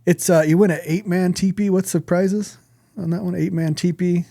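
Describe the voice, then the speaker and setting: A man's voice: high-pitched, fairly even intonation, moderate pace, gravelly, warm tone, dry recording, studio quality. A man co-hosting a podcast, chatting casually in an informal, rambling way. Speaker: podcast co-host.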